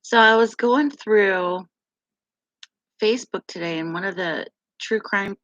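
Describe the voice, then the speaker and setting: A middle-aged woman from West Virginia with a deep, gravelly voice, hosting a casual live chat with viewers, rambling and laughing often. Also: measured pace, a bit bright, no room sound, muffled recording. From West Virginia, USA. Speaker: live stream host.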